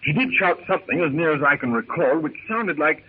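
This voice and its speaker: An actor playing an earnest, polite young gentleman adventurer with clipped, well-bred diction in a 1930s radio serial. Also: fairly high pitch, lively intonation, fairly quick. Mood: puzzled, earnest. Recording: tinny, noisy 1930s radio recording.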